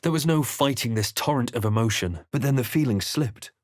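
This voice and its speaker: An actor playing a composed, brooding adventurer in a video game, recounting the journey with measured, grave, dramatic narration. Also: male voice, medium pitch, expressive, no room sound, studio quality, natural voice.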